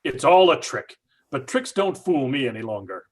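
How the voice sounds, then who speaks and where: A man's voice: medium pitch, big pitch swings, moderate pace. An actor playing a stubborn, skeptical middle-aged father in an old-time radio horror drama, blustering and combative. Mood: defiant. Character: stubborn, skeptical father, angrily sure of a hoax.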